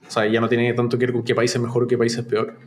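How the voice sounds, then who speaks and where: A man's voice: mid-range voice, monotone, fast talker, slight background noise. Chilean man, reflective and conversational. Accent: Chilean, softened toward neutral Spanish. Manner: reflective, frequent restarts and fillers. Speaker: startup co-founder and CEO, podcast guest. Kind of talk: casual interview conversation.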